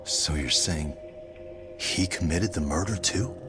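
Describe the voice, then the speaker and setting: Low-voiced, laid-back detective. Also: male voice, slow pace. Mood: inquisitive. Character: calm, casual male private detective on a phone call. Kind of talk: video game cutscene dub dialogue. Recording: game music under the voice. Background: music.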